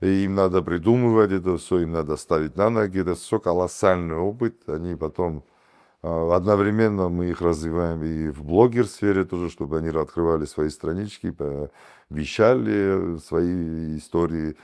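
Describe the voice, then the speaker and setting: A man with an Abkhaz accent, talking casually as an interview guest, with animated bursts and many fillers and restarts. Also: low-pitched, normal speed, clear, resonant tone. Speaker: guest, comedy team captain and producer.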